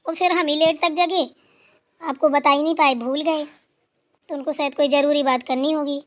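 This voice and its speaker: A woman's voice: high-pitched, fairly even intonation, normal speed, lightly acted. A woman voicing a dutiful, anxious young daughter-in-law who talks eagerly and pleads. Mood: earnest.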